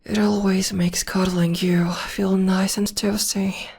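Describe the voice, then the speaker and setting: A young woman voicing a tender, protective witch girlfriend in an ASMR roleplay, speaking softly and often whispering close to the microphone. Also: mid-range voice, level tone, takes it slowly, vocal fry, slightly rough, full character voice. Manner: soft and soothing, often whispering. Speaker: voice actor.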